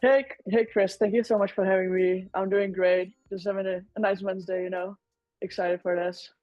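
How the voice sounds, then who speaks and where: A woman's voice: medium pitch, level tone, normal speed, no room sound, lo-fi sound, faint music behind. Cheerful young woman. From Czechia. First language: Czech. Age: young adult. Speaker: guest, college goaltender. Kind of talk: casual interview.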